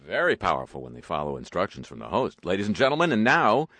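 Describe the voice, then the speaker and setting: Deep-voiced guy hosting a talk show, reading stories with sarcastic little jabs. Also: huge pitch swings, fast, bright, forceful tone, no room sound. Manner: wry, sarcastic asides. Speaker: host. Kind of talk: radio talk commentary.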